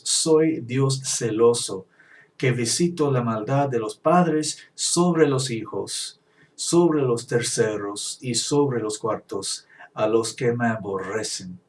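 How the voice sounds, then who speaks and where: A man whose first language is English gives a Bible teaching, reading verses slowly and halting over his words. He sits back from the mic, so his voice sounds a bit distant. His pitch is middling for a man. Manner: halting, with restarts and slow scripture reading. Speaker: preacher.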